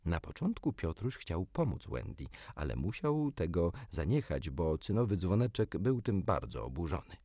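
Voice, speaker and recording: Deep, animated male storybook narrator. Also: normal speed, few pauses, no echo, clean studio sound. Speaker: audiobook narrator. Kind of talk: expressive children's storybook narration.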